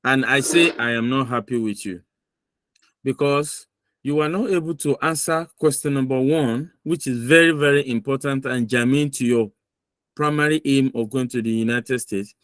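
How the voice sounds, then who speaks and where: A man's voice: mid-range voice, normal speed, a bit bright, no room sound, clean studio sound. A man running a mock visa interview on a video call, giving firm, lecturing feedback and repeating his points for emphasis.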